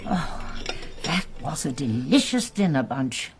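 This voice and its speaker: An older actress with an English accent playing a gentle, observant elderly lady sleuth in a British radio drama, genteel and thoughtful. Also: deep voice, very animated, takes it slowly, soft background music, full character voice. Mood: polite, weary.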